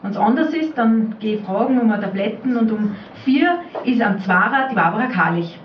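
A woman's voice: on the high side, animated, moderate pace. A woman with an Austrian accent performing a spoken-word dialect piece at a poetry slam, speaking as an elderly rural mother. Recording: roomy, distant, muffled live recording, faint noise.

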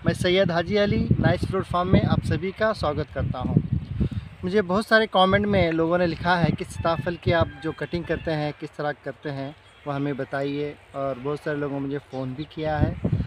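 A middle-aged man speaks in a fairly high voice. He presents an informal hands-on tutorial, going step by step and repeating points. He is recorded outdoors in an open field, with clear background noise around his voice. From India. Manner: step-by-step, repeats himself while demonstrating. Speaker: fruit farmer presenting a tutorial. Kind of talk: informal hands-on farming demonstration.

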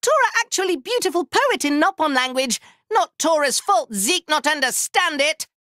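A tiny, round furry inventor creature from a video game, with an extremely high, squeaky voice. It is proud and excitable, with bright, forceful delivery and childlike broken grammar. The recording is close and dry. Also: male voice, normal speed, dry recording, heavily acted. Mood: excitable, chatty. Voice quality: squeaky, cartoonish. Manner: childlike broken grammar, third-person self-reference. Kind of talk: video game character voice lines.

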